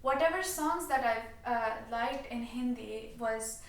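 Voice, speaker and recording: A woman's voice: fairly high pitch, a little slow. Eager young woman, echoey room. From South India. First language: Telugu. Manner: eager, gushing, many "you know" fillers. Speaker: guest singer. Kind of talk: casual interview chat. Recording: echoey room, distant mic, faint hiss.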